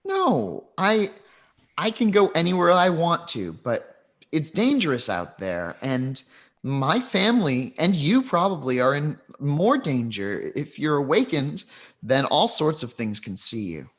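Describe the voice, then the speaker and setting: A man's voice: a little high, huge pitch swings, a little slow, exaggerated voice. A man voicing a sheltered young woman from a mage family who talks earnestly and a little anxiously.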